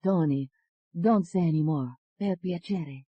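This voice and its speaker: A woman voicing a polite, gentle young Italian woman with a soft voice and an Italian accent in an audiobook. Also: fairly low pitch, husky, dry recording, highs cut off. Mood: apologetic, gentle. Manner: gentle, hesitant, courteous, slow.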